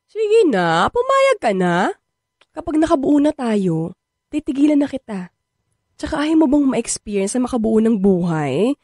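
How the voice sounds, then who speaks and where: A sweet-talking, stubborn young Filipina in a radio drama. She coaxes and teases in a fairly high, lively voice with a Filipino accent, recorded dry over faint music. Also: dry recording. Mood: coaxing. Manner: coaxing, teasing, drawn-out words. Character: playful, persistent, sweet-talking young woman wanting a baby.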